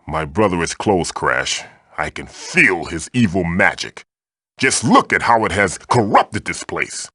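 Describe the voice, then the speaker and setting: A man's voice: sits low, big pitch swings, measured pace, bright, forceful tone, slightly rough, dry recording, clean studio sound, full character voice. A man voicing an old magical mask, a bossy, wisecracking mentor guiding the player in a video game with theatrical, wry delivery. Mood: grave warning.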